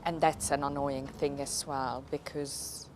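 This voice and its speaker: A middle-aged woman with a Hungarian accent tells her own story casually and haltingly, thinking aloud as a documentary interviewee. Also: rumbling low, little pitch movement, a little mellow, slight background noise. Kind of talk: casual personal storytelling to camera.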